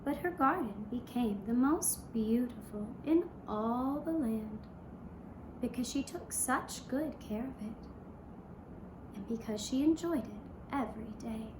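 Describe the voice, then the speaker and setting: A woman narrating a fairy tale for a puppet show in a gentle, hushed, deliberate storytelling manner. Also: fairly high pitch, reverberant, noisy background. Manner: slow, hushed, deliberate storytelling in short phrases.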